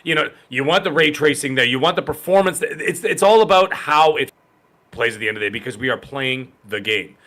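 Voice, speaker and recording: Bright-voiced emphatic podcast co-host. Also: male voice, mid-range voice, lively intonation, a little fast. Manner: emphatic and argumentative, repeats phrases. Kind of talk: casual gaming podcast chat.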